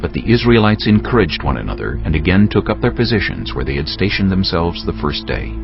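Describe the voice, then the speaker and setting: A man's voice: sits low, very animated, a little fast, gravelly, highs cut off, music in the background. A man narrating dramatized scripture as a solemn storyteller.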